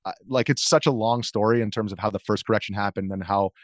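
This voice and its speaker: A man's voice: on the low side, steady tone, fast, no echo, clean studio sound. An American man being interviewed, telling anecdotes informally, interrupting himself and acting out what people said. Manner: informal, self-interrupting storytelling with acted-out quotes. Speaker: interview guest, video journalist. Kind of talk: casual anecdotal interview talk.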